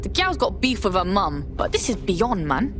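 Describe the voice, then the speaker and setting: A woman's voice: medium pitch, very animated, normal speed, music in the background, lightly acted. An actress with a British accent playing a blunt, streetwise, slangy woman operative in a video game. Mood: shocked. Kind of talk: cinematic video game acting.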